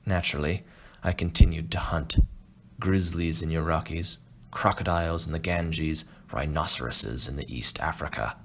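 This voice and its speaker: A man playing a refined, boastful aging Russian aristocrat and hunter in an audiobook, speaking in a measured, pompous way. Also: extremely low pitch, steady tone, noisy background. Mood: wistful, proud. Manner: pompous, measured, self-satisfied. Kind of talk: audiobook character acting.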